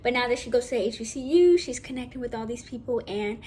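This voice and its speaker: A young woman with a naturally high voice, talking casually and rambling a little as she shares acting tips from home. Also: fast, dark tone, slight background noise. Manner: chatty, rambling, self-correcting, casual. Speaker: vlogger.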